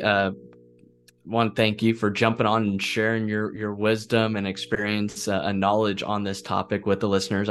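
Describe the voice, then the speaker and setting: A man's voice: fairly low pitch, slight background noise. A man hosting a podcast in a casual, rambling and appreciative conversational way. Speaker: podcast host.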